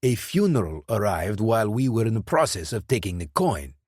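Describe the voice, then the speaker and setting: A man's voice: lowish voice, big pitch swings, a little fast, a little mellow, dry recording, clean studio sound. A man voicing a nervous, blustering male hired killer who stumbles defensively through his explanations.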